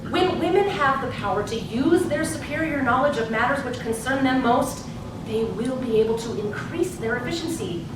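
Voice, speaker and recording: A woman's voice: expressive, normal speed. A woman playing a poised, encouraging suffragist politician in a live stage play, recorded in an echoey room. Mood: rallying. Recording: echoey noisy hall, distant mic, low rumble.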